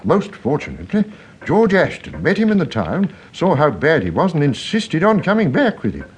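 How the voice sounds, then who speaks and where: An actor playing a kindly, absent-minded older English country rector in a radio drama, fussy and effusive, often hesitating and repeating himself. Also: male voice, on the high side, wildly swooping pitch, normal speed, husky, heavily acted. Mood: dismayed.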